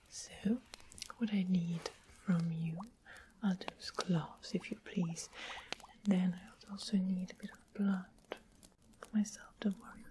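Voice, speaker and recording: A woman's voice: lowish voice, mellow, close to an everyday voice, low-quality recording. A woman playing a sweetly sinister witch in an ASMR fantasy roleplay, whispering coyly and coaxingly with doting endearments. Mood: sweet, businesslike.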